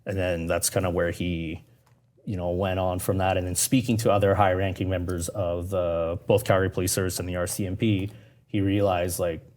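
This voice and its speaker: A man with a deep voice and a Canadian accent, whose first language is Persian. He talks as a podcast guest in an informal, conversational way, often slipping into quoted reactions as he tells his story. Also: flat delivery, brisk, a little mellow. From Canada, moved from Iran as a young child. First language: Persian (Farsi). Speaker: podcast guest, police constable.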